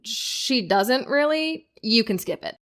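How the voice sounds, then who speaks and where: High-voiced, weary bride, played by a woman. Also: lively intonation, slow pace, a bit bright. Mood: exasperated. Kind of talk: audio drama dialogue.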